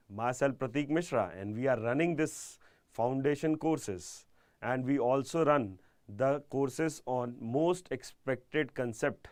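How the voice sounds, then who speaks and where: A man's voice: medium pitch, dry recording. Indian man lecturing, close dry sound. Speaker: engineering lecturer. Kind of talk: live online exam-prep lecture.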